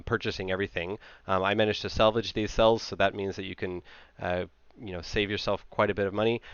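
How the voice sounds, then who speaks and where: A man with an American accent and a lowish, clean voice explains technical material as a presenter. His delivery is informal and conversational. The recording is dry and close, with a slightly dulled top end. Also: a bit bright, smooth, no echo, muffled recording. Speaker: presenter, amateur radio hobbyist.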